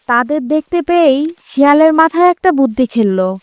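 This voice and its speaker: A woman's voice: sits high, animated, moderate pace. A woman narrating a scripted children's fable as a storyteller.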